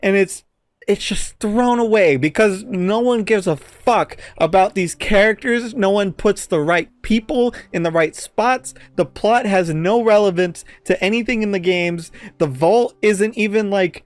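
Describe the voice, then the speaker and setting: Guy on a podcast, kinda high voice, dry close sound, swears a lot, chatty exasperated movie rant. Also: a little slow, no room sound. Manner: profanity-laced, exasperated ranting. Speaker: podcast co-host. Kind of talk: casual chat, reviewing a movie.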